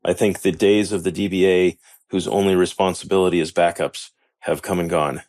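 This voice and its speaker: A man with a very low voice co-hosting a tech podcast, chiming in with short, matter-of-fact comments. His sound is close and dry, with no room around it. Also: fairly even intonation, brisk, a little mellow, no room sound. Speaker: podcast co-host. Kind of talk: casual podcast interview.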